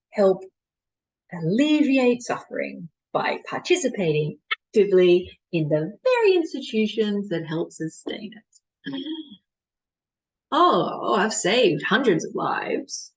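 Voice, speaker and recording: A woman performing a stage monologue as a disillusioned colonial mission doctor, bitterly candid and deliberate, with dramatic pauses. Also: fairly low pitch, rough recording. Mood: weary, despairing, then anguished.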